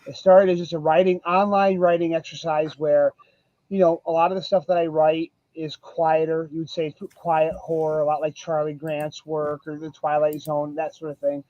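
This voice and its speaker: A man being interviewed as a guest author, speaking in a relaxed, reflective way with many fillers. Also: fairly high pitch, moderate pace, no echo. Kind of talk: casual interview chat. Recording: dull, dry lo-fi mic.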